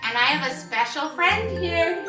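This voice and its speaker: A woman's voice: high voice, lively intonation, a little slow. A woman hosting an interactive sing-along read-aloud, speaking directly to young children with short prompts and invitations. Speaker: children's music teacher and show host. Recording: roomy echo, distant mic, faint music.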